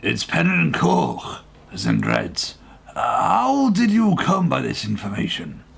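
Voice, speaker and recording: A man acting an anxious, gentle clergyman in a radio play, hesitant and fretful in manner. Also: fairly high pitch, wildly swooping pitch, takes it slowly, gravelly, husky, full character voice. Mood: worried, pleading. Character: anxious, pious, gentle male clergyman suspected of murder.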